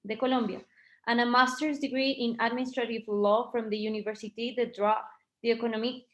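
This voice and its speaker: A woman with a fairly high voice speaks on a video call as an event organiser. She is informal and halting, pausing to find her words. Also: little pitch movement. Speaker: event organiser introducing a guest.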